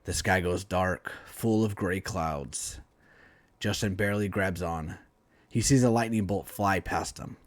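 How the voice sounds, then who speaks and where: A man reading a superhero story aloud in a dramatic, emphatic storytelling style with deliberate phrasing. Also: low voice, dry recording. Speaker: author reading own story, writing teacher.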